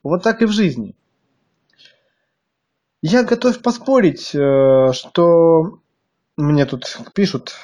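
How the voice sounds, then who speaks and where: A man's voice: a little high, vocal fry, mellow. A man with a slightly stuffy nose delivering a blunt, crude monologue to his viewers, hammering them with rhetorical questions. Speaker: video blogger.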